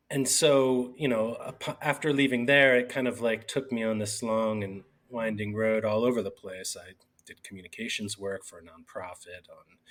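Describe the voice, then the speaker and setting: Middle-aged man, lowish voice, rambling interview guest. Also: fairly bright tone. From Bucks County, Pennsylvania. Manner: rambling, many fillers and restarts. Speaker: newspaper editor, guest. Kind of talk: casual interview talk.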